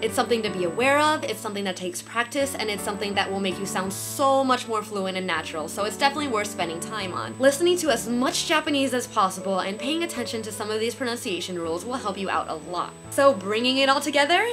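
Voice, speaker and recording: A young woman giving a beginner language lesson from her bedroom, careful and clear as she demonstrates sounds on a webcam. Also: on the high side, expressive, quick pace, few pauses. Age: young adult. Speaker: language teacher. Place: small bedroom, indoors. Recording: music under the voice.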